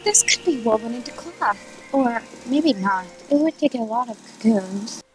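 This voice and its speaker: A gracious noblewoman of an ancient court, played by a woman, full of curiosity. Her voice is on the high side and gently inquisitive, in audio play style with soft music underneath. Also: expressive, normal speed, natural voice, low-quality recording. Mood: wondering, eager. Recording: faint music behind the voice.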